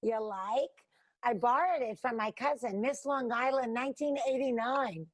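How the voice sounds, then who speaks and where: Woman playing flirty, brassy sitcom woman, highish voice. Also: normal speed, lightly acted. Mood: coy, flirty. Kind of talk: comedy table read.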